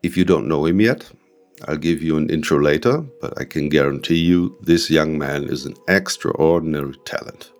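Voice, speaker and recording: This is a man whose voice sits extremely low. He hosts his own podcast in a frank, self-deprecating way and drops casual swear words. Faint music plays underneath. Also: moderate pace. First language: German. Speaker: podcast host, music producer. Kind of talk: solo podcast monologue.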